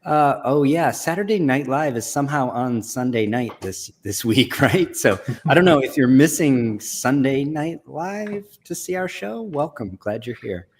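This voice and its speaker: A man hosting a live online show about comic conservation, talking in a relaxed, rambling, self-deprecating way. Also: medium pitch, measured pace, few pauses. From Texas. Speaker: host. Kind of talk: casual live show chat.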